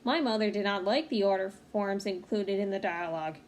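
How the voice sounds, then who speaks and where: A woman reading a children's picture book aloud in an expressive, engaged way, with small asides to her listeners. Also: medium pitch. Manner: expressive, pausing to comment to young listeners. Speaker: read-aloud storyteller. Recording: clearly noisy home recording.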